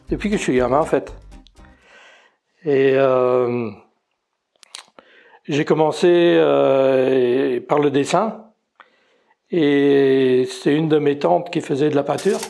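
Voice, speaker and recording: A man's voice: a little high, slow, vocal fry, long pauses, darkish tone, soft background music. A retired man in his sixties from Belgium with a Belgian French accent, talking about his painting in a hesitant way, with many fillers and restarts. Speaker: amateur painter. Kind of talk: casual interview.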